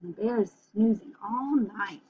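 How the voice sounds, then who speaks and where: A woman reading a picture book aloud for children in an expressive, gentle way, with chatty asides. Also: mid-range voice, a little slow, slightly rough. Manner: expressive, gentle, addressing young listeners. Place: small room at home. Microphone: computer webcam at arm's length. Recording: dull, muffled webcam recording.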